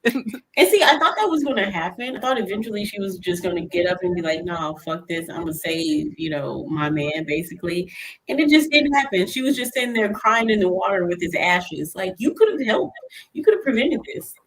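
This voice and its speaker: A woman's voice: mid-range voice, animated, a little fast, low-quality recording. A woman co-hosting a casual film chat, blunt and incredulous, with some swearing. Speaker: co-host of a movie review podcast.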